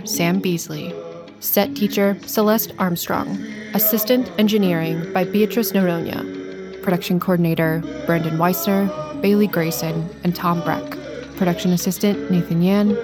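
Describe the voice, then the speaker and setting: A woman announcer reading scripted credits in a formal, orderly way. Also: lowish voice. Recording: music behind the voice. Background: music.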